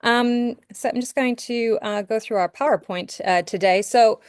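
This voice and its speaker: A woman's voice: fairly high pitch, moderate pace, darkish tone, clean tone, no echo, clean studio sound. A middle-aged woman from New York giving an upbeat skincare training webinar over a video call, with frequent ums and restarts. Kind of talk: webinar presentation.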